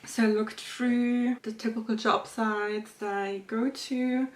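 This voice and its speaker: A woman's voice: fairly high pitch, fairly even intonation, slow, faint music behind. A woman in her late twenties from Switzerland, chatting casually in a day-in-my-life vlog at home, with fillers and small restarts. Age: 20s. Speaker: vlogger. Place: home kitchen.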